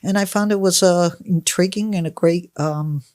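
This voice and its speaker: A woman's voice: on the low side, moderate pace, darkish tone, no echo, studio quality. A woman in her sixties from Connecticut, answering interview questions in a chatty, emphatic, conversational way. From United States (Connecticut). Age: 60s. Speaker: podcast guest, personal trainer.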